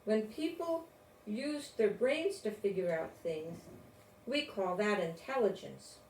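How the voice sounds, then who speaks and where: An older woman reading a children's storybook aloud in a deliberate, expressive way, with informal asides. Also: medium pitch. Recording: roomy, distant mic, faint noise.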